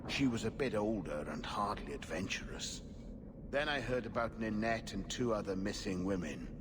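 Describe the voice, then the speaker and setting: Man playing a low-voiced, weary aging knight. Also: lively intonation, close to an everyday voice. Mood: weary, formal. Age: middle-aged. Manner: formal, earnest, measured. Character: weary aging templar knight, formal and discouraged. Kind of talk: video game dialogue acting. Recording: clear noise behind the voice.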